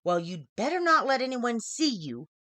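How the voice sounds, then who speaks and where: Disapproving storybook girlfriend, acted by a woman reader, cautious, scolding, very changeable pitch, dry sound. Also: sing-song, normal speed, bright, forceful tone, dry recording. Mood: warning. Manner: scolding, warning. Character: disapproving, anxious female friend, cautious and faintly threatening. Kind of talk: acted dialogue in short story reading.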